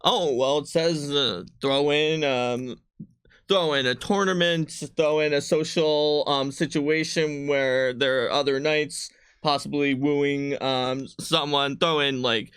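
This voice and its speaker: A man's voice: on the high side, fairly even intonation, slow pace, fairly bright tone, clear, resonant tone. Male. Game designer talking casually on a stream, eager and meandering. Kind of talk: casual chat about game books.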